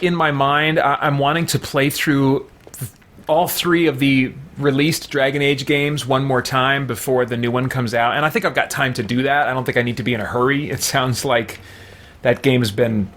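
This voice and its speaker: A man with a medium-pitched voice gives casual gaming commentary. He thinks aloud in a loose, rambling way, as if talking half to himself and half to viewers. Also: fairly even intonation, normal speed, fairly bright tone. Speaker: gamer playing and commenting on a role-playing game. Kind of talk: casual let's play commentary.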